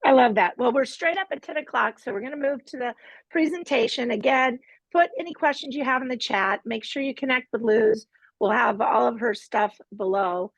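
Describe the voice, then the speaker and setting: A woman's voice: fairly high pitch, normal speed, dry recording, low-quality recording. A woman hosting a live online master class from her home studio, with a playful, teasing manner. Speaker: host and team leader.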